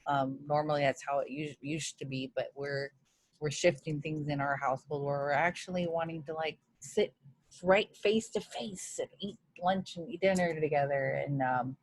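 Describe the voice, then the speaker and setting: A woman from Hawaii with a low voice talks as a guest in a relaxed interview. She is earnest and reflective. Her video call audio sounds dry, with no room echo. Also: normal speed. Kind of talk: casual interview chat.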